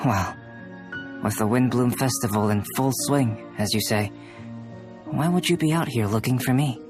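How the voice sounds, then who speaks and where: A young male alchemist from a video game voiced by a man, composed and courteous. His delivery is measured and analytical, with faint music behind him. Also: mid-range voice, lightly acted. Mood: calm, analytical. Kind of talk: video game character acting.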